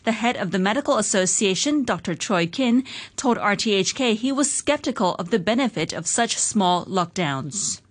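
A woman reads the radio news bulletin in a measured, steady delivery, pitched in the middle for a woman. She runs through the headlines like a practiced anchor. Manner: measured, even newsreader delivery. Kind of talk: scripted radio news reading.

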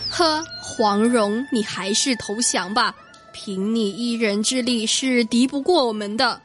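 A scornful martial arts villainess acted by a woman in a radio play. She is domineering and taunting in a fairly high voice, with light music underneath. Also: unhurried, natural voice. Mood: menacing. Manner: haughty, taunting. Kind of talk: radio drama acting.

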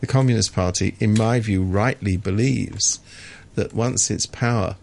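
A man with a gravelly, creaky voice talks in a radio studio as a regular commentator. He sounds indignant and sardonic, and he often trips over the first words of a sentence before pushing on. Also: on the low side, measured pace, a little mellow. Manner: indignant, sardonic, many restarts.

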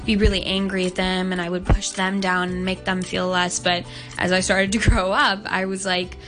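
A woman's voice: lowish voice, flat delivery, normal speed, over music. A nineteen-year-old woman from Hong Kong, a guest on an interview show, talking openly and earnestly with many "like" fillers. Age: young adult. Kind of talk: casual interview talk.

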